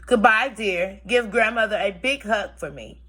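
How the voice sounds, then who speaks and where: A woman's voice: mid-range voice, a little slow, bright, forceful tone. A woman voicing a caring, cautioning storybook mother, with a singsong, instructive delivery. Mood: reassuring.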